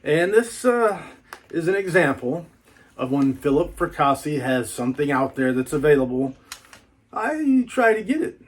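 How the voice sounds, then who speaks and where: A man's voice: a little high, very animated, normal speed. A man doing a casual, folksy book unboxing vlog, rambling playfully with repeats and little asides.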